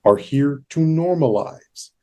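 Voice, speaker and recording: A man hosting a casual interview, talking in a halting, discursive way. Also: fairly high pitch, expressive, dark tone. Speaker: podcast host.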